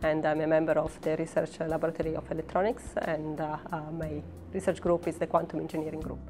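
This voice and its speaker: A woman's voice: sits low, monotone, fast, darkish tone, soft background music. A woman in her thirties with an Italian accent, a professor speaking hesitantly in an interview about her work. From Italy. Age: 30s. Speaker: professor and research scientist.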